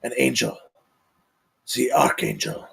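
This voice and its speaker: A commanding, courtly count acted by a man in a dramatic table read. His voice is gravelly and husky, and he speaks with formal, measured solemnity. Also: rumbling low, huge pitch swings, heavily acted. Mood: grave, ominous.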